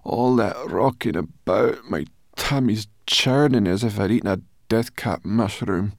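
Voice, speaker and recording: A man voicing a brash, excitable, bossy female furry creature in a storybook, loud and exclaiming. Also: on the high side, moderate pace, creaky, dry recording, clean studio sound, pitched above the performer's own voice, full character voice. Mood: groaning, queasy.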